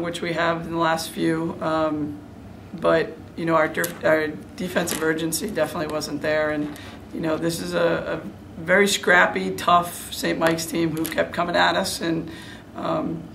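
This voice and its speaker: Middle-aged female coach, postgame interview: heavy vocal fry, even delivery, frank and serious. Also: low voice, a little hiss or hum, poor audio. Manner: frank, self-critical, serious. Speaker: head basketball coach. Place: indoor room before a press backdrop.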